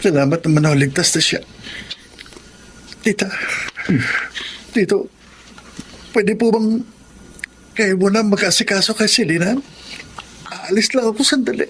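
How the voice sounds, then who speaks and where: A man's voice: sits high, measured pace, long pauses, fairly bright tone, a little hiss or hum. An actor playing a remorseful young husband in a Tagalog radio drama, polite and pleading as he speaks to elders. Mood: apologetic, polite. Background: dramatic background music.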